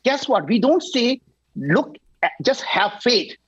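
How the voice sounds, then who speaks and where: Energetic man in his sixties pitching. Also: slow, slightly rough, no echo, highs cut off. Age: 60s. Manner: energetic, emphatic, repeats "right?". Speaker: company founder guest. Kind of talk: enthusiastic pitch on a talk show.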